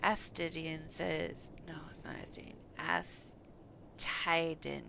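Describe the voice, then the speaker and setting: A woman game streamer giving live, expressive commentary as she plays, reacting aloud and reading dialogue. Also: sits low, slow, creaky. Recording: faint background noise.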